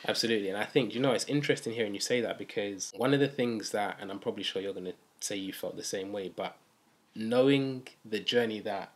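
A young man with a London accent speaks in a fairly low voice. He talks casually and conversationally, like a co-host chatting to viewers and a friend. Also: fast talker. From East London, UK. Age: young adult. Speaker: video co-host. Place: small furnished room, indoors.

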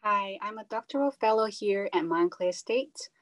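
A woman from Hong Kong and Singapore, a doctoral fellow, introducing herself on a video call in a brisk, composed manner. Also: mid-range voice, no echo.

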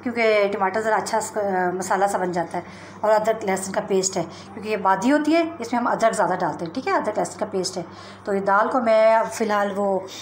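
A middle-aged woman giving a casual home cooking tutorial, explaining each step with chatty asides. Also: mid-range voice. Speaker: cooking vlogger. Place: home kitchen at the stove. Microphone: phone camera held over the pot. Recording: echoey kitchen with cooking noise. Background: frying and sizzling.

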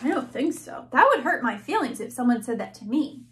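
A woman's voice: high-pitched, big pitch swings, a little fast, fairly bright tone. A young woman teacher in her twenties reading a picture book aloud to children, gasping and asking them questions. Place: small classroom-style room indoors.

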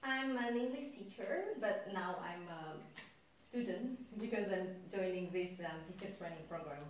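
A woman's voice: on the low side, expressive, moderate pace, slight background noise. A woman in her thirties from Indonesia with an Indonesian accent, an English teacher answering interview questions with many hesitations. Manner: hesitant, many ums and uhs, laughs lightly. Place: classroom with whiteboard, indoors. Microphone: far camera mic. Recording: echoey classroom from far off.

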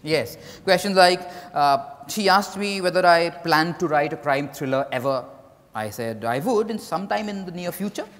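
A man's voice: fairly high pitch, lively intonation, normal speed, a bit bright. An Indian-accented man playing a candid, evasive writer in a dramatized stage reading. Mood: guarded. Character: Mriganko, candid, evasive male writer and lover. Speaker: actor. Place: large hotel ballroom stage, indoors. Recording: echoey hall stage recording.

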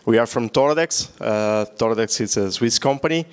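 A man with an Italian accent gives a conference talk in a large hall, speaking into a handheld microphone. He keeps the tone light with jokes and questions to the crowd. His pitch sits in the middle for a man. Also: brisk, clear, resonant tone. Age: older. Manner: joking, engages audience with questions. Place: large hall with seated audience. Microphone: handheld mic.

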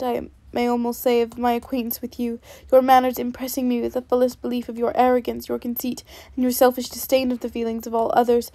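A woman's voice: a little high, mellow, dry recording, close to an everyday voice. Elizabeth, a woman reading a spirited indignant young lady.

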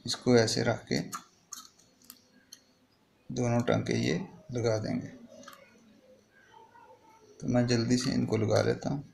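A man's voice: medium pitch, level tone, takes it slowly, gravelly, long pauses, a little mellow. A man explaining a hands-on repair step by step in a plain, improvised way, like a tutorial host. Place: indoor repair workbench. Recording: noisy home-made recording with clicks.

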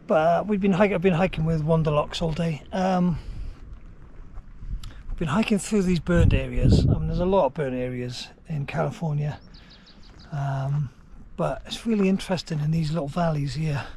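A man's voice: a little high, moderate pace, background noise. A middle-aged man chatting casually to his camera as a hiking vlogger, recorded outdoors. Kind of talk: casual video diary chat. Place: outdoors on a trail and at a campsite. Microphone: action camera at arm's length or close by.

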